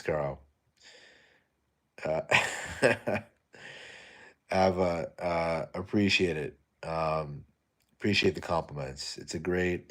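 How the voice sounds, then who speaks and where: A young man hosting a casual late-night live stream, soft-spoken and low-energy, chatting with viewers and reading their comments. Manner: tired, low-energy, laid-back.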